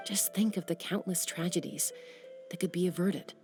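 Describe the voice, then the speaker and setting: A woman's voice: on the low side, moderate pace, soft background music, natural voice. Chasca, a woman as a composed warrior. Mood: explaining. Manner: level-headed, analytical, even-toned. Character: young female warrior, composed and strategic. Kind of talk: video game cutscene dialogue.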